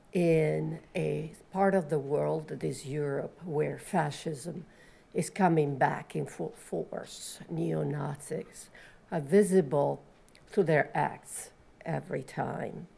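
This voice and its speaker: A woman with an Italian accent, a professor moderating a panel and introducing speakers in an earnest, measured way. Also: deep voice, vocal fry, dark tone.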